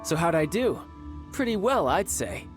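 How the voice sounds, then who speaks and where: An actor playing a charming, smug young male noble fighter who talks in a teasing, smoothly confident way. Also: on the high side, very animated, unhurried, over music. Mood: playfully confident. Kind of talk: game voice acting.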